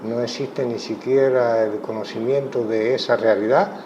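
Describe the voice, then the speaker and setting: A Cuban man, a chess coach, giving thoughtful interview answers in a measured way with frequent pauses. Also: mid-range voice, steady tone. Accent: Cuban Spanish. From Cuba. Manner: measured, thoughtful, many hesitations. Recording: muffled audio with clear background noise.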